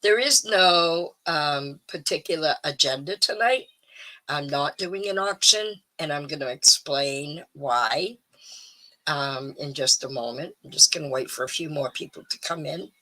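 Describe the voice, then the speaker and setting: A middle-aged woman hosting a live stream, chatting casually and rambling with ums, a little hoarse. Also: sits low, measured pace, sharp tone, poor audio. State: slightly hoarse. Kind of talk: casual chat to viewers.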